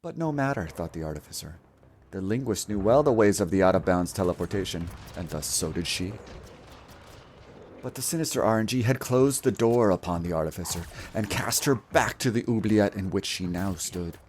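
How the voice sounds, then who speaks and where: A man's voice: lowish voice, expressive, normal speed, darkish tone. Theatrical, swooping male storyteller over game noise. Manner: theatrical, grandiose, mock-solemn storytelling. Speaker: video storyteller and gamer. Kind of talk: scripted mock-epic narration.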